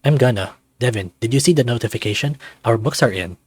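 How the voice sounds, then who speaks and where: A man's voice: medium pitch, fast, a little mellow, breathy edge, dry recording, close to an everyday voice. A man voicing a young male dragon student in a visual novel, friendly, eager and easygoing. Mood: eager, casual.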